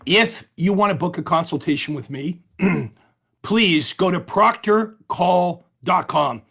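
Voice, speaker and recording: A man's voice: sits high, expressive, slow pace, gravelly, a bit bright, breathy edge. A middle-aged man coaching real estate agents in an upbeat way, often asking the audience questions, while his voice is giving out. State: losing his voice. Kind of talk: webinar-style coaching talk.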